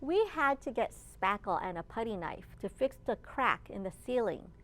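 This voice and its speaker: A woman children's librarian reading aloud to young children in an encouraging storytime manner, pausing to ask simple questions. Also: on the high side, animated, a bit bright, poor audio. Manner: slow, encouraging, asks listeners simple questions.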